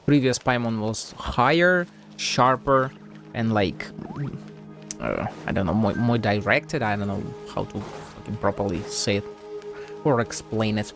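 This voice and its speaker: Male game streamer, casual and rambling, unhurried, with vocal fry and game music behind. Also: lowish voice, lively intonation, a bit bright. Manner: rambling, thinking aloud. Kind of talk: casual live game commentary.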